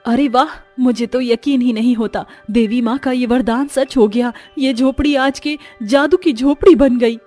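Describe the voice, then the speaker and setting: A wide-eyed village daughter acted by a woman talks excitably in a very high voice. She is a poor girl in a dramatised moral tale, with music behind. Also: unhurried, hardly any pauses. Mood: delighted.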